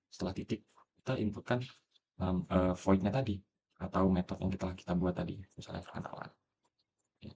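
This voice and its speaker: A man's voice: sits low, mellow, dull audio, a little hiss or hum. A young man explaining programming step by step in a casual tutorial, thinking aloud with frequent fillers. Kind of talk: screen-recorded coding tutorial.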